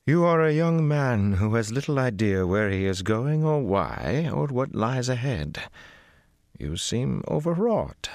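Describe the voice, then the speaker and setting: Man doing a composed elderly sorceress, raised voice, slow deliberate words, dry close sound. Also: medium pitch, very animated, darkish tone, dry recording, voice pushed up. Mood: calm. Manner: slow, measured, unshakably composed. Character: older woman sorceress, calm, imperious.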